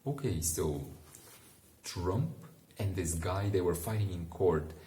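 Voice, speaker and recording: Male bedtime reader, very low and unhurried, informal and musing, recorded in a roomy, echoing space. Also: lively intonation, mellow, a little hiss or hum. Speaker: bedtime story reader and commentator. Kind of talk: relaxed read-aloud with casual asides to help listeners sleep.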